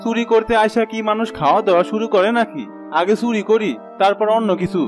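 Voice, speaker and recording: A man puts on an eager junior thief, a grumbling cartoon sidekick. His voice sits high for a man and has a rural Bangladeshi Bengali accent. Music runs underneath. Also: fast, natural voice. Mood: complaining. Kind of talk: cartoon voice acting. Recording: music behind the voice.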